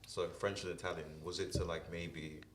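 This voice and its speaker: A man hosting a podcast interview in a relaxed, casual way, often saying "like" and "yeah". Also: sits low, moderate pace, reverberant, a little hiss or hum. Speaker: podcast host.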